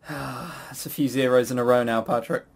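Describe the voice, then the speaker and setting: A man's voice: mid-range voice, fairly even intonation, a little slow, vocal fry, breathy edge. A man hosting a casual quiz, chuckling and teasing as he banters. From a Western country. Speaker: quiz host.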